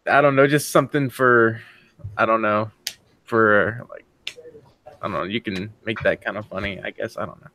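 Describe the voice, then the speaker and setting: A man's voice: on the low side, fairly even intonation, moderate pace, long pauses, bright, forceful tone, a little hiss or hum. A man in a casual brainstorming chat, talking informally and tentatively with lots of questions.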